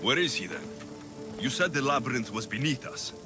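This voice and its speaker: A man's voice: medium pitch, very animated, brisk, music in the background, close to an everyday voice. A man playing a bold, wry Greek mercenary hero in a video game, with a Greek accent. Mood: resolute. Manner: bold, wry, matter-of-fact. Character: confident, dry-witted heroic male Greek mercenary. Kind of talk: video game character acting.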